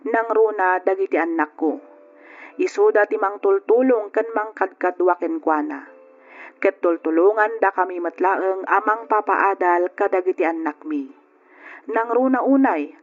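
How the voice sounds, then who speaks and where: A woman's voice: mid-range voice, lightly acted. Radio drama narrator: thoughtful Ilocano wife and mother played by a woman, lo-fi sound with music underneath. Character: reflective married woman recounting her family's hardship. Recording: dull lo-fi radio drama recording.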